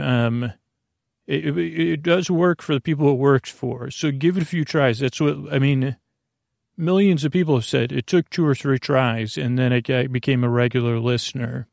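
Creaky, meandering male bedtime podcaster. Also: medium pitch, a little mellow, no echo, clean studio sound. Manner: meandering, halting, digressive, self-interrupting. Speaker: podcast host. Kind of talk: rambling bedtime monologue to lull listeners to sleep.

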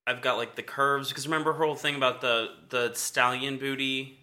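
A man with a naturally high, bright and forceful voice chats through a reality TV recap. He fills his talk with "like" and breaks into laughs often. His tone stays loose and gossipy. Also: little pitch movement, fairly quick. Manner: chatty, lots of "like", frequent laughs. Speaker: podcast co-host. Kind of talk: casual reality TV recap chat.

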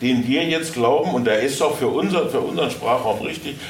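A man giving informal answers as an audio drama producer, haltingly and searching for his words. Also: medium pitch. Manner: halting, searching for words, many false starts. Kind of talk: informal question-and-answer talk. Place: indoor convention hall, live event. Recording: echoey, noisy room, far from mic.

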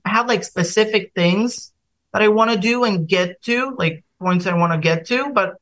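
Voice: mid-range voice, normal speed, fairly bright tone. A young singer from Bulgaria with a Bulgarian accent, talking casually as a podcast guest and hesitating with many fillers and restarts.

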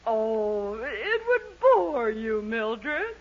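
An actress playing a vain, excitable middle-aged mother in a 1940s radio comedy, theatrical and self-satisfied. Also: a little high, extremely slow, heavy background noise, heavily acted. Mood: coy, flattered. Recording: muffled 1940s radio recording.

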